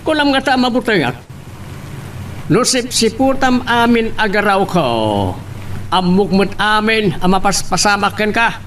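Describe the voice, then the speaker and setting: A man's voice: high voice, steady tone, unhurried, fairly bright tone. A man playing a scheming, threatening villain in an Ilocano romance audio drama, with noise behind him. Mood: menacing.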